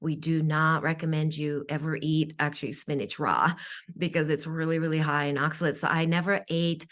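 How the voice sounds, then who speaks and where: A woman with a Japanese accent and a deep, low voice speaks as an interview guest. Japanese is her first language, and she explains things earnestly and at length, often repeating a word when she restarts a phrase. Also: little pitch movement, normal speed, fairly bright tone. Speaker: guest, functional nutritional therapist.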